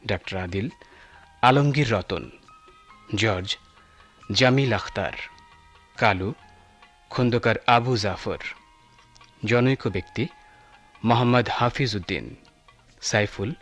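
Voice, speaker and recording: A man's voice: lowish voice, slow, long pauses, a bit bright. A man announcing radio drama credits in a formal, scripted style. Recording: music behind the voice. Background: music.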